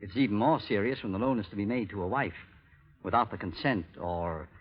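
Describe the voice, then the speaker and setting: A man playing a stern, guarded moneylender in a 1930s radio drama, speaking in a measured, grave way. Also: lowish voice, slightly rough. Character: guarded, stern male moneylender in 1890s Norway. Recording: muffled old 1930s radio recording.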